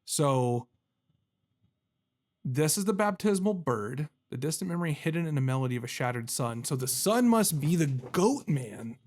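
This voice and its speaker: A man's voice: on the high side, expressive, moderate pace, a little mellow. A man giving casual let's-play commentary as a gaming host, talking to himself and poking fun at his own fumbles. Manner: self-deprecating, talks to himself while puzzling.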